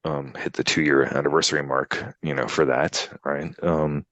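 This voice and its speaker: American man in his fifties, very low voice, chatty and meandering, interview guest on a video call, dry close audio. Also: level tone, normal speed, a bit bright, no echo. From United States. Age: 50s. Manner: rambling, filler-heavy, frequent "you know" and "right?". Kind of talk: casual storytelling interview. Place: Poland, remote interview.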